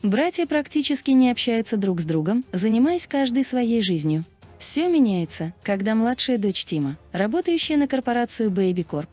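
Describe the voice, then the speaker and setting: Lively, high-pitched woman narrator. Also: no echo. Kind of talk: scripted video narration.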